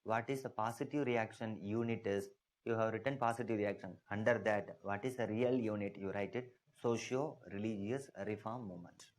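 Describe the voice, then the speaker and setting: A man's voice: lowish voice, normal speed. A man with an Indian English accent giving a classroom history lecture, emphatic and often asking the class whether they follow. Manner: emphatic, asks checking questions often. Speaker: history teacher.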